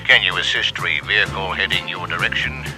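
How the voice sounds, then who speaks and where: A low-voiced police dispatcher, played by a male actor, with music behind him. His delivery is clipped and procedural, as in a radio crime drama. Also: brisk, natural voice, poor audio. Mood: urgent.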